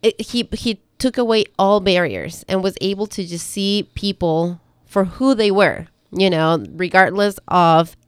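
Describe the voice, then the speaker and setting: A woman's voice: mid-range voice, unhurried, few pauses, smooth, no echo, clean studio sound. A woman from Ecuador with an Ecuadorian Spanish accent, hosting a local radio show and encouraging her co-host to talk. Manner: warm, encouraging interviewer. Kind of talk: casual radio chat.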